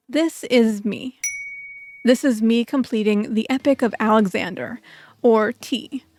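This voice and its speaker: A woman's voice: a little high, darkish tone, no room sound, clean studio sound. A woman telling a personal story as scripted video essay narration.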